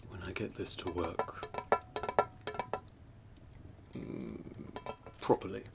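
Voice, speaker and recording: A man playing a calm, scholarly sorcerer mentor in an ASMR fantasy roleplay, speaking softly and gently in measured, near-whispered phrases. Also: deep voice, over loud music, exaggerated voice. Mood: calm, hopeful. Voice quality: soft, breathy, often near whisper. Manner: slow, measured, gentle, explanatory. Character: calm scholarly male sorcerer mentor showing magical relics.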